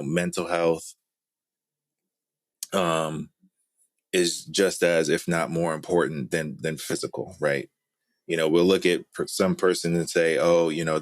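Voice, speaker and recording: A man taking part in a casual panel discussion, talking in a tentative, halting, conversational way. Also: sits low, dry recording. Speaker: panel participant.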